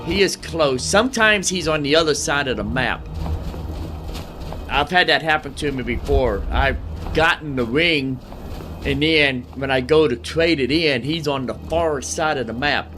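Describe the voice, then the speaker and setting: A man's voice: on the high side, a little slow, pushed and bright, soft background music. A man giving casual gameplay commentary as a video host, repeating his phrases and using folksy slang.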